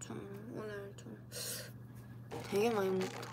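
A woman's voice: medium pitch, flat delivery, slow pace, vocal fry, a little mellow, slight background noise. A young woman casually chatting with viewers on a livestream from indoors, playful and giggly, replying to comments. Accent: Korean dialect. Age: young adult. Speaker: idol streamer. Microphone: computer livestream mic.

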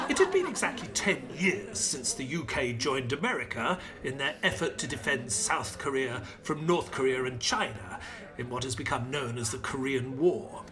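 A proper British gentleman doctor acted by a man tells his story in a formal, measured way. His delivery is dramatic and expressive, with lively pitch movement, over a music bed. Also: natural voice. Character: middle-aged English army veteran doctor, proper, reflective. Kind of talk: dramatic first-person scripted narration.

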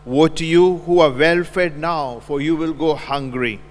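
A middle-aged priest reading the Gospel at the altar in a measured, solemn way, into a stand mic in a large church. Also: male voice, fairly high pitch. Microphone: stand mic at the altar, filmed from a distance. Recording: long church reverb, faint hum.

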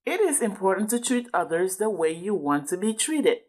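A woman's voice: mid-range voice, big pitch swings, normal speed, darkish tone, slight background noise. A woman doing a teaching read-aloud, patiently explaining and often checking understanding with questions. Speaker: adult reading a book with a child.

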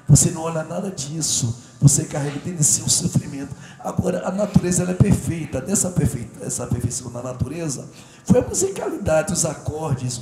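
An effusive, rambling middle-aged man hosts a studio interview, his voice sitting a little high. He is heard on a lapel mic in an echoey studio, with steady background noise underneath. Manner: effusive, rambling, jokes and shouts at crew. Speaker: talk show host. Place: TV studio set.

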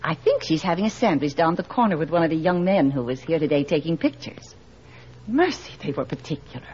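A woman's voice: low voice, big pitch swings, fairly quick, a little mellow, husky, exaggerated voice. An actress playing a doting, chatty middle-aged small-town mother in 1940s radio style, folksy and deferential. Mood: apologetic, flustered. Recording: dull 1940s radio recording.

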